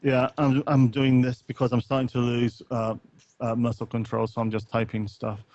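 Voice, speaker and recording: A man's voice: mid-range voice, monotone, normal speed, a little mellow. A man co-hosting a podcast, chatting casually with live viewers in a rambling, hesitant, wry way. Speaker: podcast co-host and streamer. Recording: dull, close, lo-fi voice chat.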